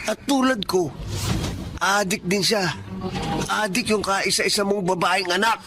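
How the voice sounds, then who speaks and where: A man's voice: sits high, measured pace, music in the background. A man with a Filipino accent playing a defiant, desperate young drug pusher in a radio drama, with a taunting manner. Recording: noisy radio drama recording.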